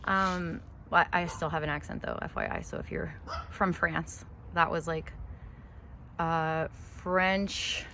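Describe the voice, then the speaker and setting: A woman's voice: low voice, fairly even intonation, takes it slowly, creaky. Canadian lady rambling about her garden plants, outside on a phone mic, voice drifts off sometimes, background noise. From Ontario, Canada. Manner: chatty, rambling, gushes over plants. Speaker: gardening vlogger. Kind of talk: casual garden walkthrough. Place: outdoors in a home garden. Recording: outdoor noise behind voice.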